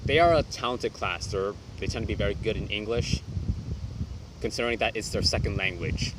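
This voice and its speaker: Young guy teacher vlogging, lowish voice, quiet and reserved, stops and restarts a lot, noisy hum behind. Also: brisk. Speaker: English teacher. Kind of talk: reflective vlog monologue.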